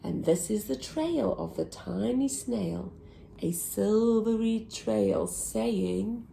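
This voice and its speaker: Woman storybook reader, slow sing-song rhymes, creaky edge to the voice. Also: medium pitch, lively intonation, dark tone, slight background noise. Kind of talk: reading a picture book aloud.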